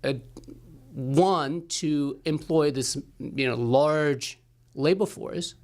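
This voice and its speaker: A man from China, a professor guest, speaking in an interview with many halting restarts. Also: fairly high pitch. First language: Chinese. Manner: hesitant, many restarts and fillers. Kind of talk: studio interview.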